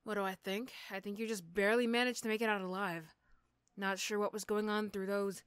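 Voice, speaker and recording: A woman plays a female supervillain for an ASMR roleplay, threatening and teasing in the same breath. She is sardonic and begrudgingly fond, and the sound is dry and close, at a medium pitch. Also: level tone, fairly quick, clean tone, no room sound, lightly acted. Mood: grudging, teasing.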